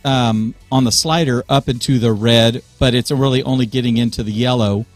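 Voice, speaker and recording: A man's voice: mid-range voice, steady tone, normal speed, dry recording, soft background music. A man co-hosting a casual podcast chat about church media, explaining sound setups through everyday church examples.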